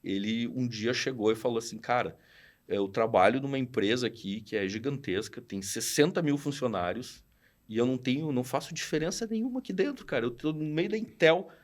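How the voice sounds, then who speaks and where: Lively southern Brazilian man telling stories. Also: medium pitch, a little fast. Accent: southern Brazilian Portuguese. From Rio Grande do Sul, Brazil. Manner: animated, slangy, acts out quoted dialogue. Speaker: podcast guest, studio founder. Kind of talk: casual storytelling chat.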